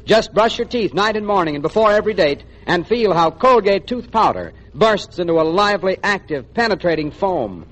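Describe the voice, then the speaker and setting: Male 1940s radio pitchman, slightly high voice, very animated intonation, emphatic and friendly selling. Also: normal speed, hardly any pauses, rough recording. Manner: emphatic, friendly salesman addressing listeners as friends. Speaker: commercial announcer.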